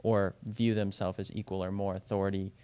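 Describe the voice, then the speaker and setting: Rambling young man, smooth low voice. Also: flat delivery, a little fast, darkish tone. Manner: rambling, heavy on fillers like "you know" and "like". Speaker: baseball and softball coach, podcast co-host. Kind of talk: casual podcast chat.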